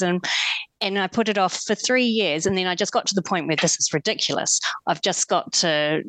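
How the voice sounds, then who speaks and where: A woman with a New Zealand accent speaks in a fairly low voice, recorded close and dry with no room sound. She talks reflectively as an interview guest, often restarting her sentences. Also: a bit bright, dry recording, poor audio. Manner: reflective, frequent restarts and fillers. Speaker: guest adventurer. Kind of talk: casual interview talk.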